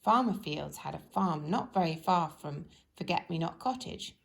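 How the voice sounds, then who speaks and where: Creaky-voiced woman reading a children's story. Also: low voice, lively intonation, normal speed.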